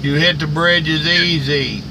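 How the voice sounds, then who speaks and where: Older trucker guy interviewing, bit high pitched, giving advice, clear car noise behind. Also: steady tone, slow. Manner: instructive, agreeing. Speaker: interviewer, veteran trucker mentor. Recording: clear vehicle noise in background. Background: vehicle noise.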